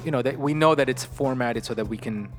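A man's voice: medium pitch, quick pace, soft background music. A man hosting a podcast in a studio, chatting casually and often restarting his sentences. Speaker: podcast host.

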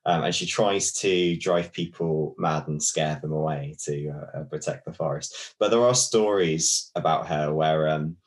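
A man's voice: extremely low pitch, unhurried, hardly any pauses. A man co-hosting a podcast, chatting casually and explaining folklore with many fillers and restarts. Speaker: podcast co-host.

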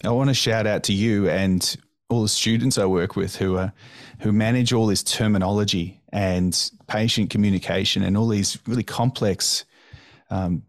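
Low-voiced man hosting a podcast, dry sound. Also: steady tone, moderate pace, no room sound. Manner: thoughtful, affirming with frequent "mm"s. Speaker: podcast host. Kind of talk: conversational interview.

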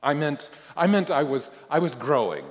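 A man's voice: fairly high pitch, big pitch swings, normal speed, voice pushed up. A man voicing a flustered believer who stammers and protests to justify himself before God in a spoken sermon story, in a reverberant hall. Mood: nervous, stammering. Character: flustered, defensive believer arguing with God after death. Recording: echoey hall, long reverb.

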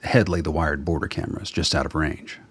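A man's voice: rumbling low, fast talker, husky, no echo. A man reading scripted narration for a radio drama.

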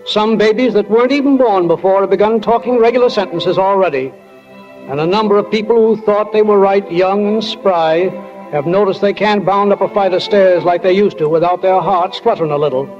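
Folksy small-town narrator played by a male actor, high-pitched, homespun and wry, muffled old radio drama recording with music. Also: brisk, darkish tone, lightly acted. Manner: homespun, plain-spoken, addresses listeners directly. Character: folksy small-town stage manager narrator, wryly philosophical. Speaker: narrator of a play. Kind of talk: 1940s radio acting, conversational narration.

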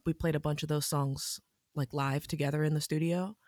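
A woman in her thirties, a musician guest on a podcast, talking in a laid-back way with soft laughs and many ums. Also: low-pitched, steady tone, a little fast, no echo, studio quality. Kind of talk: casual interview chat.

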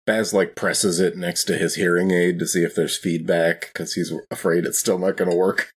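A man's voice: sits low, level tone, normal speed, slightly rough. A man playing a tabletop role-playing game, casually narrating his character's actions.

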